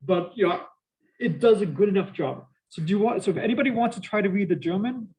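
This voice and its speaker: High-voiced professor with a Boston accent. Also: male voice, quick pace, poor audio. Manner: energetic, prone to asides and questions to students. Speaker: math professor. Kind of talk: university lecture.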